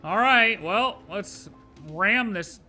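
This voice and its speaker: A streamer reacting live to a game with excitable, sarcastic, joking commentary. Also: medium pitch, slow, faint music behind.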